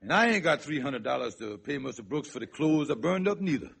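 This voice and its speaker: A man playing a scheming, henpecked husband in old-time radio comedy, with a raspy voice and excitable, wheedling, folksy ungrammatical speech. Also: medium pitch, fairly quick, heavily acted. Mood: pleading. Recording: vintage radio broadcast recording.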